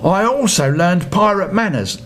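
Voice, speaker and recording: High-voiced, animated older man reading a story. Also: normal speed. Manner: playful, theatrical asides. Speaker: storyteller, grandfather reading to children. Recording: noisy home recording with rumble.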